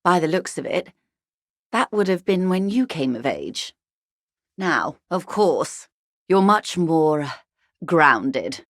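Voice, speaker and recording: A woman's voice: sits low, sing-song, slow pace, long pauses, a bit bright, no echo, clean studio sound, exaggerated voice. An actress playing a wealthy, worldly older woman in a video game, articulate, arch and wryly condescending. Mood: wistful, amused. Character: wealthy, worldly older woman, urbane, wry, intellectually vain. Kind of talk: video game character dialogue.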